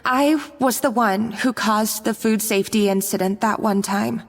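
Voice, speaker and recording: An actress playing a nervous young kitchen worker, stammering and apologetic, full of guilt. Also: female voice, medium pitch, measured pace, natural voice. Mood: fearful. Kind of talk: dubbed game dialogue. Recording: game audio, reverb, music under.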